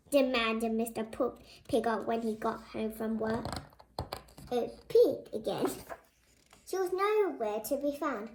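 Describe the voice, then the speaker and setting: A child reading a picture book aloud in an expressive way, with spontaneous exclaiming side comments. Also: high-pitched, a little hiss or hum. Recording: roomy, echoing home recording.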